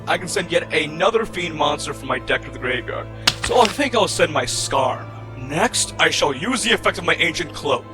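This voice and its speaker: Man playing scornful rival duelist, fairly high pitch, theatrical declaiming, card-battle roleplay, loud backing music. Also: natural voice. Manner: dramatic, declaiming, formal incantations. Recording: voice over loud background music.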